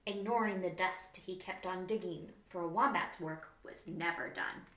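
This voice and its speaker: A woman's voice: lowish voice, moderate pace, a bit bright. A woman in her thirties, a children's author, reading a storybook aloud expressively in a small room. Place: small plain room indoors. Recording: roomy, echoing indoor room.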